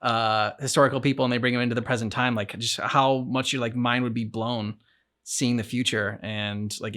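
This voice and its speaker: A man's voice: mid-range voice, fairly bright tone. Man giving casual game reaction commentary. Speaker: reaction show co-host.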